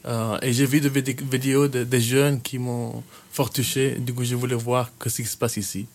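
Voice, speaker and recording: A man's voice: mid-range voice, level tone, moderate pace, darkish tone. Hesitant young man in his twenties. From Kenya. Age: 20s. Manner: hesitant, many fillers and restarts. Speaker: youth worker, interview guest. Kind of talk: casual interview answers.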